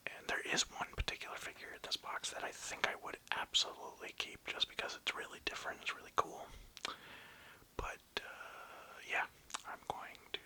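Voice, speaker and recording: Hushed, breathy ASMR whisperer. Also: rough recording. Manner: rambling, casual, hesitant. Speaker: video host presenting a toy collection.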